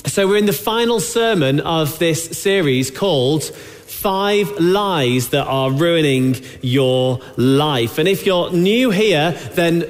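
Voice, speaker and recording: Male preacher, slightly high voice, sore throat, earnest and measured, long church-hall reverb. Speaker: pastor. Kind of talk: sermon.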